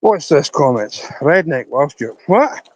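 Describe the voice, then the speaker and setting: Scottish man, live streamer, rambling casual chat, high voice, slow easy pace, dry outdoor phone sound. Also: big pitch swings, darkish tone, slightly rough, dry recording, muffled recording. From Scotland. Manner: rambling, chatty, talking to viewers while walking.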